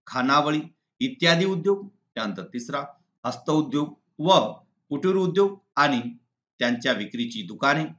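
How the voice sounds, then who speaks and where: A man teaching in a deliberate, didactic way, reading exam answers point by point. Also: mid-range voice, bright, forceful tone. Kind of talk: exam answer walkthrough, lesson read aloud. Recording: slightly dull lo-fi recording.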